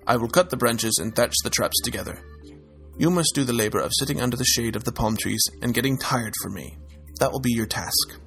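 A man's voice: medium pitch, a little fast, a bit bright, faint music behind, lightly acted. A man voicing Anansi, a lazy, cunning male spider trickster who talks others into doing his work. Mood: sly.

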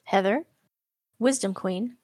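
A woman co-hosting a podcast, reading a list of names and a scripted message at an even pace. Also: mid-range voice, takes it slowly, breathy edge. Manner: reads out a list of names evenly. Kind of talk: scripted read.

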